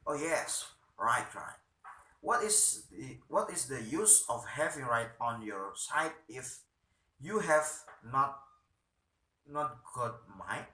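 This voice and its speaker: Guy playing a worried practical wife pleading in a play, halting, slow pace, echoey room. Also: medium pitch, lively intonation, sharp tone, full character voice. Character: anxious, practical middle-aged wife pleading with husband.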